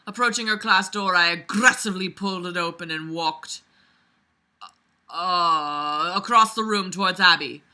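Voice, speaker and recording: Young woman reading a story out loud and mocking it, super bright edgy voice, fed up and sweary. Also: fairly low pitch, unhurried. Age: young adult. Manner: weary, exasperated, sweary. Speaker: vlogger reading fiction aloud and mocking it. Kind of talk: casual reading with sarcastic commentary. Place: small bedroom, lying on a bed.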